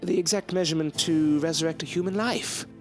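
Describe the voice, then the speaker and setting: Guy playing an aloof ambitious gentleman scientist, formal and theatrical, old gothic radio play feel, music behind. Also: fairly high pitch, fairly even intonation, a little fast, lightly acted. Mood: intrigued, grandiose. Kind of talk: period gothic audio drama acting.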